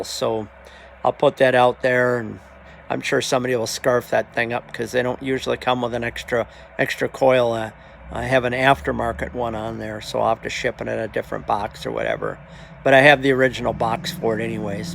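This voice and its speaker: A man with a gravelly, creaky voice talking casually as a hobby video host, halting and plainspoken, often trailing off. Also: medium pitch, a little hiss or hum. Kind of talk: casual rambling vlog talk.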